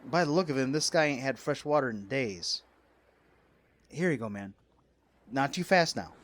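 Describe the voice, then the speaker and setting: An actor playing an excitable, wisecracking man on a yacht in a radio drama, wry and colloquial. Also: mid-range voice, normal speed, natural voice. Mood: uneasy, wry. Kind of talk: audio drama acting.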